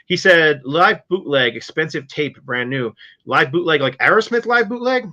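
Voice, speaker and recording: A man's voice: fairly high pitch, animated, normal speed, sharp tone, highs cut off. A middle-aged man hosting a music podcast in casual chat, matter-of-fact and openly opinionated. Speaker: podcast host.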